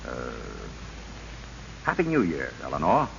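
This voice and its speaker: An actor playing a courteous, gently hesitant gentleman suitor in an old radio drama, polite in manner, on a muffled, hissy recording. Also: very deep voice, huge pitch swings, a little slow, gravelly, heavily acted. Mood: tender, hesitant. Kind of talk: 1930s-40s radio drama acting.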